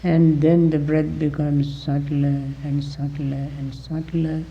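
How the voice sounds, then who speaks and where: A man with a gravelly voice gives guided meditation instruction as a teacher, deliberately, in short phrases with long pauses, on a dull recording. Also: on the high side, flat delivery, a little hiss or hum.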